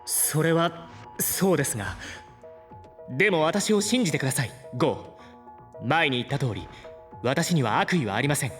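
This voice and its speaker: Earnest, bright-voiced, polite AI. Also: male voice, a little high, expressive, moderate pace, pauses often, soft background music. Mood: earnest insistence. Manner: formal, courteous, emotive. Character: earnest, polite artificial intelligence, guileless and self-reproaching. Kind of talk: Japanese audio drama acting.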